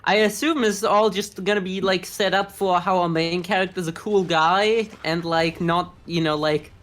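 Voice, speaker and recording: A woman's voice: lowish voice, fairly even intonation, moderate pace. A woman co-hosting a podcast, casually commenting on anime with a skeptical manner. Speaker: podcast co-host.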